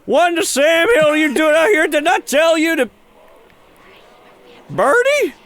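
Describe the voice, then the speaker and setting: A man's voice: very high voice, lively intonation, measured pace, a bit bright, no echo, pitched above the performer's own voice, heavily acted. A man voicing an irate, grieving rural chicken farmer in a game read-aloud, exclaiming in folksy speech. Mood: alarmed, grieving.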